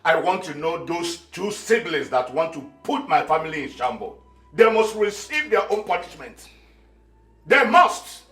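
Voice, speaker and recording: A man's voice: a little high, sing-song, a little slow, sharp tone, roomy sound, faint music behind, heavily acted. An actor playing a stern king who is outraged at his children's fighting. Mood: angry. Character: angry middle-aged king, stern father.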